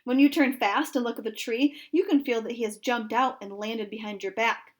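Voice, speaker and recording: Woman reading as a measured, mock-serious father. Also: fairly high pitch, steady tone, natural voice. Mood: mock-serious explaining. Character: calm, patient storybook father, gently teasing.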